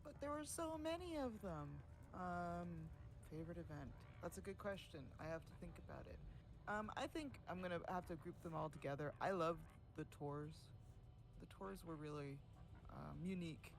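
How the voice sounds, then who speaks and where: A man hosting community events, chatting casually on a livestream, laughing often and filling pauses with ums. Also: high voice, expressive, vocal fry, a little hiss or hum, poor audio. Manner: says he has a louder voice than most.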